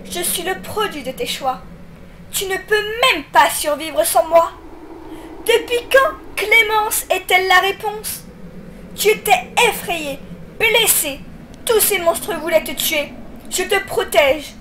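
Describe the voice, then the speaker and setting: A woman's voice: very high voice, slow pace, background noise. A woman voicing a bitter, taunting demonic child spirit in a theatrical, intense comic dub. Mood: hurt, furious. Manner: mocking, theatrical, emotionally intense. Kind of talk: amateur comic dub acting.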